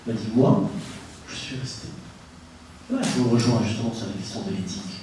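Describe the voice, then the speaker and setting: A man's voice: lowish voice, a little fast, mellow, a little hiss or hum. A middle-aged man from Tunisia giving an emphatic conference talk into a handheld mic, asking the audience rhetorical questions. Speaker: conference speaker. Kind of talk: public lecture with anecdotes. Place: conference room, indoors. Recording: echoey room, from far off.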